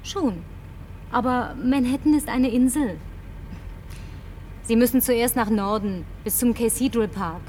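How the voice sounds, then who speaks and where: A brash, lonely woman survivor acted by a woman in a science-fiction radio drama. Her voice sits fairly high and she talks briskly, with sardonic, bossy bite. A faint rumble runs underneath. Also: a little mellow, far from the mic, close to an everyday voice. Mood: dry, sardonic. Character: brash, lonely woman, last woman in deserted New York. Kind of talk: German science-fiction radio play acting.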